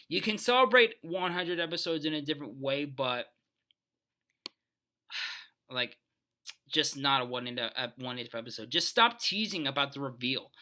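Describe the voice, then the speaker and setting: A man's voice: medium pitch, pushed and bright. A man giving casual, unscripted ranking commentary, rambling and emphatic as he shares his opinions. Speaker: video host.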